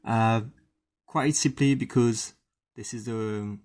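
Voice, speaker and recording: A man giving casual, halting unboxing commentary as a host, murmuring to himself as he handles things, in a husky, gravelly voice. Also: mid-range voice, darkish tone. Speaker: toy unboxing video host.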